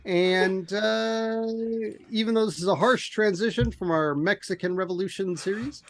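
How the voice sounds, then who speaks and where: A man co-hosting a podcast in casual chat, speaking with a hoarse, somewhat monotone voice and a rambling, self-mocking way of talking. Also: sits high, normal speed. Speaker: podcast co-host.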